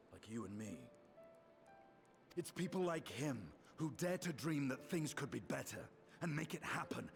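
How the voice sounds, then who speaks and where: An actor playing a thoughtful male comrade in a video game, measured and earnest. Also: medium pitch, creaky. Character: steady, thoughtful male comrade defending idealists. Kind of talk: dramatic video game cutscene acting. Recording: music behind the voice.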